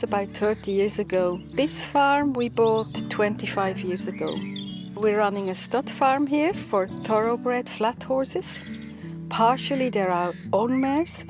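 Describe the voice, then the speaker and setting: A middle-aged woman from Switzerland, a stud farmer, speaking thoughtfully and unhurriedly outdoors on her farm. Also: mid-range voice. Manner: thoughtful, unhurried, with small restarts. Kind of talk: documentary interview.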